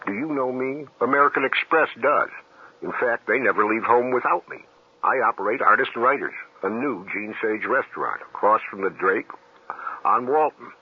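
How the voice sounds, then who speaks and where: A man's voice: mid-range voice, moderate pace, breathy edge. A man, a restaurant owner, speaking in a radio ad in a wry, self-deprecating way. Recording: dull old radio broadcast.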